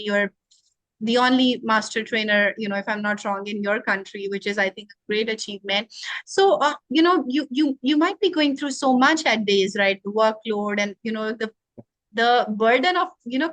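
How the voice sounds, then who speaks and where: A woman talks earnestly as a guest trainer in an interview, thinking aloud with many "you know" fillers. Her voice sits a little high, and the sound is dry and close with no room echo. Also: a bit bright. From Pakistan. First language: not English. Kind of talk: conversational interview.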